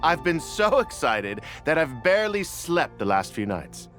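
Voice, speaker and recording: A man's voice: fairly high pitch, big pitch swings, unhurried, a bit bright. An actor playing a brash, chatty young male fan in a video game, gushing and over-familiar. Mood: eager. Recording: music under the voice.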